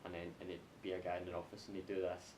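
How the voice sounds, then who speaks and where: A man's voice: completely flat delivery, very fast. A young Scottish man hosting a casual group podcast chat. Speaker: podcast host. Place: echoey room. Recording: roomy echo, faint noise and rumble.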